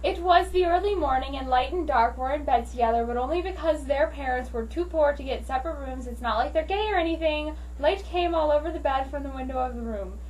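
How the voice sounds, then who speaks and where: A woman's voice: sits high, a little fast, few pauses, a little mellow, distant mic, slight background noise, low-quality recording. A woman giving a dramatic reading of a story as an amateur narrator. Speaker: amateur reader of fan fiction.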